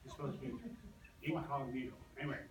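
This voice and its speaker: A man's voice: mid-range voice, steady tone. Older New man, echoey room. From Brooklyn, New York. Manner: rambling, joking, halting with restarts. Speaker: business owner hosting a presentation. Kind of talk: casual talk to a live audience. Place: large echoey room with an audience. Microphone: far from the recording mic. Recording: echoey room, distant mic, noisy.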